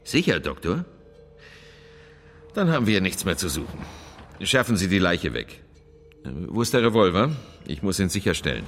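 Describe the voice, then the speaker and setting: An actor playing a gruff, grudging police detective in a German radio crime drama. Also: male voice, deep voice, very animated, moderate pace, long pauses, a bit bright, in a large hall, soft background music, full character voice. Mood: grudging, businesslike.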